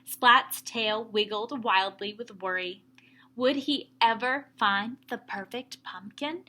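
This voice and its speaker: A woman teacher reading a story aloud to young children, with expressive emphasis and explanatory asides. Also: fairly high pitch, slow.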